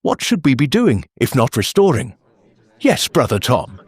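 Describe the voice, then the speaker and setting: An actor playing an earnest, formal church meeting chairman who speaks in a measured, bureaucratic deadpan. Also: mid-range voice, slightly rough, full character voice. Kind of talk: audio drama acting.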